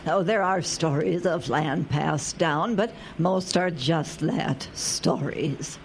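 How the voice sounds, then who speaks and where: Woman acting as older northern local lady, gravelly fry, mid pitch, folksy, kindly, radio play, faint noise. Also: takes it slowly, full character voice. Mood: matter-of-fact. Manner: matter-of-fact, folksy, explanatory. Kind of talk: amateur radio drama acting.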